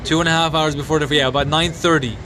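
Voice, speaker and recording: A man livestreaming from his phone outdoors at night, answering viewers' questions in a halting, sombre way with many restarts. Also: a little high, fairly even intonation. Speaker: livestreamer reporting a fire. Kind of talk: live phone stream, answering viewer comments. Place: outdoors in a city compound at night. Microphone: phone mic. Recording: noisy outdoor phone stream.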